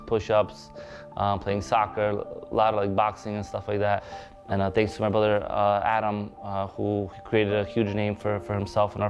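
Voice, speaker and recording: A young man from Poland with a Polish accent, a police officer and boxing manager, speaking in a halting, earnest way with many restarts in a documentary interview. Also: lowish voice.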